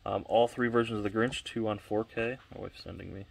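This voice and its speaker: A man with a deep, low voice and a congested, sniffly sound, rambling through a casual tour of his home shelves. He speaks in a flat, even tone and often stops to correct himself. He is recorded on a camera on a tripod. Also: fairly quick, gravelly. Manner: rambling, offhand, self-correcting. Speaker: collector vlogger. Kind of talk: casual walkthrough of his collection.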